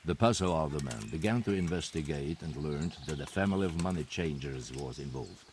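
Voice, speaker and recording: An actor playing a stern knight who calmly reports on forged coins in a video game cutscene, speaking bluntly and without fuss. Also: male voice, very deep voice, brisk, gravelly, a little hiss or hum, heavily acted. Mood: terse report. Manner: blunt, matter-of-fact reporting. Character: grim male knight investigating counterfeiters.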